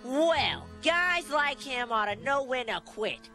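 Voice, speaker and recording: A man's voice: extremely high pitch, lively intonation, takes it slowly, pushed and bright, heavily acted. A man playing a cocky, wisecracking young hedgehog hero in a cartoon, brash and sarcastic. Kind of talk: animated cartoon acting. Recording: music behind, old cartoon soundtrack.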